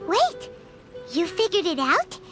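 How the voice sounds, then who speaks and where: Squeaky, very high-pitched tiny companion. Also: female voice, wildly swooping pitch, slow pace, music in the background, exaggerated voice. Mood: curious, surprised. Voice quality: squeaky, childlike. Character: tiny childlike floating companion, curious and excitable. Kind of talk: video game voice acting.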